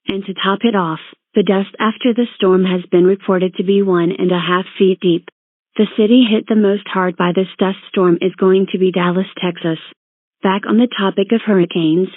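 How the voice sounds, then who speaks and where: A woman reading a scripted emergency broadcast announcement in a formal, measured, synthetic-sounding way. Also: medium pitch, dry recording. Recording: narrow tinny phone-like band.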